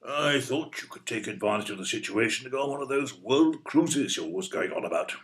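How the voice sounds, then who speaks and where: An actor playing a blunt, stubborn older British husband in an audio play, speaking in a dry, matter-of-fact way. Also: male voice, medium pitch, expressive, fairly quick, a bit bright, lightly acted. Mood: grumpy, teasing. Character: blunt, grumpy older husband wanting his freedom.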